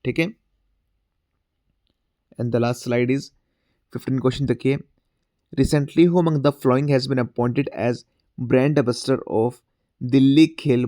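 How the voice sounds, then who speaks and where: A man's voice: medium pitch, darkish tone, no room sound, clean studio sound. Man teaching exam prep with sore throat. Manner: repeats "theek hai" as a filler, mixes Hindi and English; reads English questions slowly. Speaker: current affairs teacher. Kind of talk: exam-prep class, explaining quiz questions.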